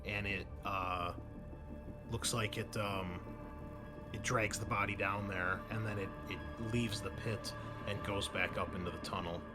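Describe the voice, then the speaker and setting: A man running a tabletop roleplaying game as game master, narrating scenes haltingly with pauses. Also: fairly low pitch, fairly even intonation, loud music behind the voice.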